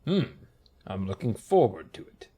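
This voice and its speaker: A man's voice: fairly low pitch, very animated, normal speed, mellow, slightly rough. A man voicing an impatient, self-important male god of rocks in a comic fantasy audiobook. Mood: intrigued.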